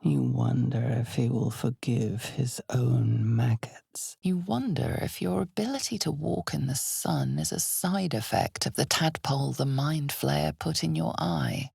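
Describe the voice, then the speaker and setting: An ominous, knowing game narrator with a very low voice full of gravelly fry. She tells a dark fantasy tale to the listener slowly and deliberately. The recording is close and dry. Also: dry recording, exaggerated voice. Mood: brooding, dramatic. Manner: measured, deliberate, portentous delivery. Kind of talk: dramatic scripted second-person fantasy narration.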